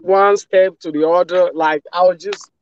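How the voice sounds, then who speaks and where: A man's voice: high voice, measured pace, clear, resonant tone. Nigerian man on a muffled video call. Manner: relaxed, frequent "you know" fillers. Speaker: guest on a live video call. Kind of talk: casual testimonial. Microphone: phone video call.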